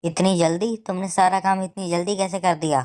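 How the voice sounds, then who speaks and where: A woman's voice: fairly low pitch, level tone, brisk, a little mellow, voice pushed up, natural voice. A woman voicing a rich, scheming male village landlord in a cartoon story, fretful and bossy. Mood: flustered. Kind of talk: cartoon story character acting.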